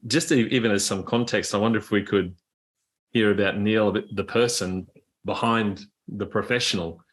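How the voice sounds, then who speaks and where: A man's voice: fairly low pitch, steady tone, normal speed, a bit bright. A man co-hosting a podcast interview, asking a long question while hesitating and stumbling over words. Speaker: podcast co-host.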